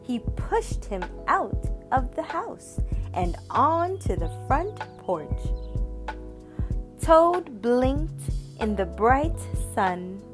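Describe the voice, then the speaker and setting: A woman reading a children's picture book aloud, deliberately and with expressive emphasis. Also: high voice. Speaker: storybook reader. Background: music.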